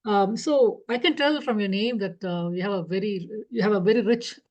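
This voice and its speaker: A middle-aged woman hosts a radio interview. She is friendly and encouraging and keeps affirming her guest. Her delivery is conversational and unhurried. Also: mid-range voice, a little mellow, highs cut off. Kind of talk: interview chat.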